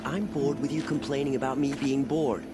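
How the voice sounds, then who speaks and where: An actor playing a bored, petulant guard who complains idly in a video game. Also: male voice, medium pitch, steady tone, moderate pace, noisy background, natural voice. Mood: bored, bickering.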